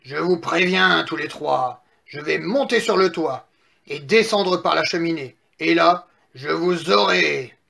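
A big bad wolf from a storybook, acted out by a man reading aloud. He is hungry and full of himself, and he draws his threats out slowly and menacingly. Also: a little high, creaky, bright, forceful tone, exaggerated voice. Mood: threatening. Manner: slow, drawn-out threats. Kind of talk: children's storybook character voice.

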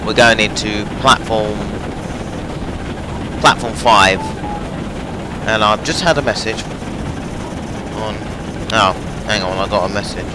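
Low, slow-talking young man, strained voice. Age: young adult. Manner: rambling, hesitant, many fillers. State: losing his voice. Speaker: gaming channel host. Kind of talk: casual train-simulator gameplay commentary. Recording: noisy home recording.

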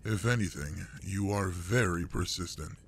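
A man playing a gruff older male monster adventurer in an intimate audio roleplay, fond and teasing with a human partner. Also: low-pitched, moderate pace, a bit bright, slight background noise.

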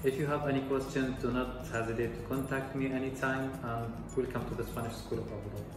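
A man's voice: mid-range voice, steady tone, moderate pace. A man in his thirties, a public relations officer, introduces himself politely with some hesitation. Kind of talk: self-introduction to camera. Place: school office. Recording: echoey hall, distant mic, music.

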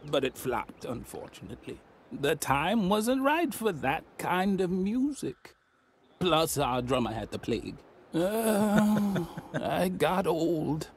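A man's voice: high voice, very animated, slow, slight background noise, heavily acted. An actor voicing an ancient, muddled old man in a game who rambles boastful tall tales. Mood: rambling. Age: older.

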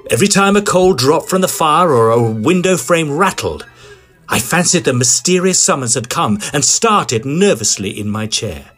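Jumpy Edwardian gentleman, highish voice, very expressive, formal old-fashioned storytelling, faint music behind. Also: normal speed. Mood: suspenseful narration. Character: excitable Edwardian gentleman ghost hunter, earnest and jumpy. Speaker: narrator and lead character. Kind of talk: theatrical first-person audio drama storytelling.

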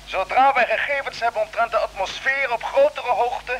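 High-pitched, bright expedition captain. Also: male voice, moderate pace, slight background noise, poor audio. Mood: assured. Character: unworried, brisk male space-expedition captain on Mars. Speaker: actor in a radio serial. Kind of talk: vintage Dutch radio drama acting. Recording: humming vintage radio recording.

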